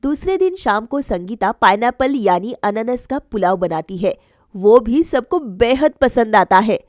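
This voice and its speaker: High-voiced, unhurried woman storyteller. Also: expressive, darkish tone, dry recording, studio quality. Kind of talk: scripted bedtime story narration for cartoon.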